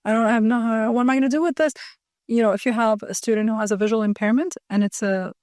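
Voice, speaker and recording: A woman educator in an interview, talking fast and earnestly, explaining her ideas through examples. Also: on the high side, steady tone, a little mellow, dry recording, studio quality. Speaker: guest educator. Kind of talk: conversational interview.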